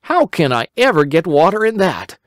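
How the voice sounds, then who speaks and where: A man's voice: high-pitched, very animated, normal speed, no echo, clean studio sound, pitched above the performer's own voice. A man voicing a simple, hungry, round-faced young boy who whines and pleads. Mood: fretful. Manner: whiny, pleading, easily upset.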